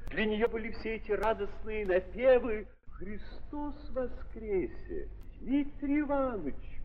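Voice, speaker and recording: A man narrating a 1930s audio drama in an emphatic, declamatory theatrical style, with repeated phrases and pauses, on an old recording. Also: on the high side. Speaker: narrator of a staged literary drama. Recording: echoey, noisy, muffled 1930s audio play.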